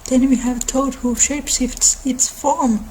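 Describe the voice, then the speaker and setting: A woman who is not a native English speaker, giving a soft ASMR-style informal lecture in a halting way, as if explaining lore on her own channel. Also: high voice, fairly even intonation, slight background noise, rough recording. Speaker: host of a mythology channel.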